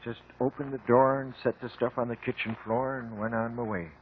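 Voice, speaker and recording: A laconic country deliveryman played by a man from an old radio play. His rough-edged voice answers tersely in folksy, rustic speech. The recording is thin and narrow like a vintage broadcast. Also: medium pitch, steady tone, fairly quick, lightly acted. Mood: laconic, matter-of-fact. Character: plain-spoken, laconic rural grocery delivery man. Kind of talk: 1950s radio drama acting.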